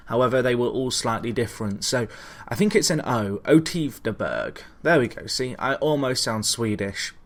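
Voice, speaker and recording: A man's voice: medium pitch, a bit bright. Chatty man doing casual gaming commentary. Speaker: gaming video host. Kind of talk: casual Let's Play commentary on a football management game.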